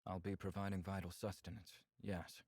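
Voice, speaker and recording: A man voicing a calm, earnest male visual novel character who speaks in a measured, polite, formal way. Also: low-pitched, no echo, studio quality, pitched below the performer's own voice. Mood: formal, composed. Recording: music clearly behind the voice. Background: background music.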